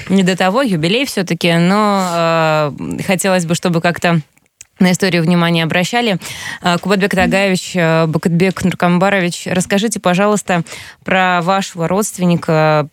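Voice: mid-range voice, steady tone, brisk, dry recording. A radio host politely guiding an interview with questions.

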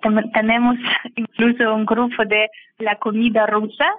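A Russian woman with a Russian accent, speaking Spanish as a second language. She is a guest in an interview, halting and searching for words with frequent fillers. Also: a little high, flat delivery, no room sound. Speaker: guest, language teacher and film translator. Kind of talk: casual interview over a call. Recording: tinny phone line.

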